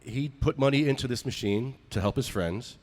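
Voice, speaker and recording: A man's voice: medium pitch, normal speed, darkish tone. A man co-hosting a podcast, chatting casually and reading out ads. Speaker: podcast co-host. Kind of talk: casual podcast chat and live ad read.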